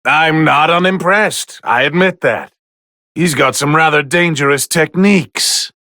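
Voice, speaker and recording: A man's voice: a little high, a little slow, bright, forceful tone, no echo, studio quality. A man voicing a haughty, easily bored god of destruction who comments on fights in a video game with condescending, refined, theatrical drawl. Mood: aloof, appraising. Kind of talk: English video game voice lines, match commentary.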